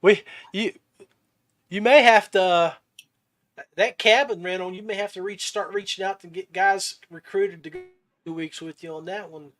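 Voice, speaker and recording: A man's voice: high voice, steady tone, moderate pace, a bit bright. A man hosting a podcast, asking questions and chatting in a casual, conversational way. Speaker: podcast host. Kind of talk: casual interview chat.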